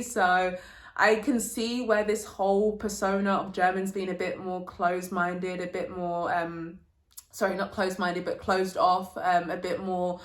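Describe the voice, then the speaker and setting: Chatty young woman vlogger in an echoey room. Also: medium pitch. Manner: chatty, informal, uses slang. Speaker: vlogger, channel host. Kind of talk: casual sit-down vlog chat, telling anecdotes. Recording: roomy, echoey indoor sound.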